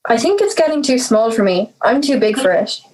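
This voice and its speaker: A woman's voice: fairly high pitch, very animated, brisk, a little mellow, poor audio. A young woman playing an anxious, reluctant, brooding teenage girl in an audio drama. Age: teenager or young adult. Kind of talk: audio drama monologue and dialogue.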